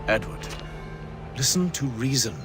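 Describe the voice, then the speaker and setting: An actor playing a poised, cunning gentleman leader in a video game, formal, measured and suave. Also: mid-range voice, lively intonation, over music, close to an everyday voice. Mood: firm, diplomatic.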